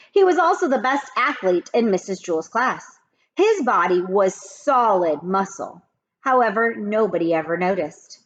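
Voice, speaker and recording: A woman teacher reading a chapter aloud to children, expressive and talking to the kids directly between passages. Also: mid-range voice, dull audio. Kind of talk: children's read-aloud with asides.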